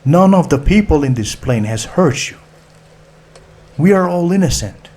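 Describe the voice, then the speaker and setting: A man's voice: a little high, animated, measured pace, darkish tone, pitched above the performer's own voice. A man playing a middle-aged father held hostage, protective and argumentative, in an audiobook thriller. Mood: arguing, pleading.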